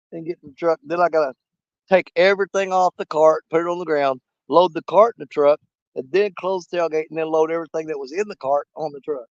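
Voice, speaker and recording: A man hosting a fishing live stream outdoors at a small lake, hoarse and losing his voice, rambling in a folksy way straight to his viewers. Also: fairly high pitch, no room sound, muffled recording. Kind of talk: casual live-stream chat.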